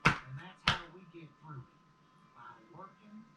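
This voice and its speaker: A gruff, practical western sheriff pony, acted by a man for a cartoon audio drama. The delivery moves around in pitch, and it comes through echoey and distant with clear noise behind. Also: expressive, a little slow. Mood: resolute. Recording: echoey, noisy playback from a distance.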